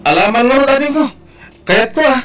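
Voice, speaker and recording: Agreeable bus driver, slightly distant. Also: male voice, very high-pitched, animated, normal speed, over music. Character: agreeable working bus driver, adult brother. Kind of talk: Ilocano radio drama acting.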